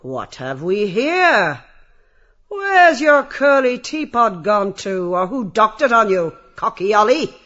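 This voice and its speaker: A domineering, jeering brothel boss for an audiobook. The voice is pitched high and swoops animatedly as it bullies and hawks. The sound is slightly dull and lo-fi. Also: male voice, very animated, takes it slowly, sharp tone, full character voice. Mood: jeering, ruthless. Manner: taunting, bullying, theatrical barking. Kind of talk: theatrical audiobook character reading.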